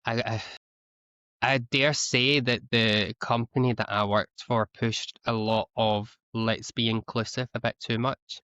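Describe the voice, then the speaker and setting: A man's voice: mid-range voice, fairly even intonation, measured pace, sharp tone, smooth, no echo. A Scottish man speaking as an interview guest, talking earnestly with frequent restarts and repeated words. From Scotland. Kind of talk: candid conversational interview. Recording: slightly dull, lo-fi audio.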